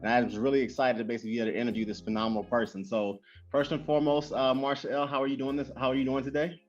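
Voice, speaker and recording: A man hosting a casual interview, talking quickly and loosely with many fillers and repeated phrases. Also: medium pitch. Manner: fast, animated, lots of fillers and repeats. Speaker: host. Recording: dull lo-fi sound with faint music.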